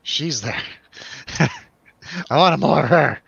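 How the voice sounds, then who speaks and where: A man's voice: a little high, lively intonation, slow pace, gravelly, fairly bright tone, breathy edge, no echo, muffled recording. A man hosting a casual, jokey film review discussion, with a teasing manner. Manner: joking, teasing. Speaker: host of a film review show. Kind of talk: casual jokey panel chat.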